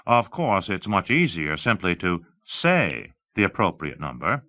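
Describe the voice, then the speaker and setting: A man's voice: sits low, very animated, a little slow. A man narrating an audiobook, voicing an eager, showy salesman with emphatic, carefully enunciated speech in slightly muffled audio. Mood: confident, showy. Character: eager, showy salesman demonstrating voice software to an audience. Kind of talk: audiobook character dialogue.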